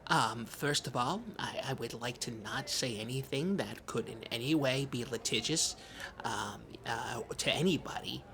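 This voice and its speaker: Comedy podcast co-host: male actor as an earnest, timid man-child, mid-pitched and bright, halting and rambling. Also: slight background noise, close to an everyday voice. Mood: hesitant, earnest. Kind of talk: improvised comedy podcast banter.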